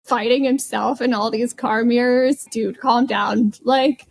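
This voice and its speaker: High voiced lady on a podcast, just casually telling stories, conversational. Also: fairly even intonation, unhurried, vocal fry. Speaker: podcast panelist. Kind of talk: casual chat, personal anecdotes.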